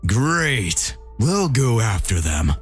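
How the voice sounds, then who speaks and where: A man's voice: mid-range voice, very animated, drawn-out words, husky, faint music behind, voice pushed down, full character voice. A man voicing an evil, gloating villain who commands demon armies, grandiose and commanding, in a story. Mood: satisfied, menacing.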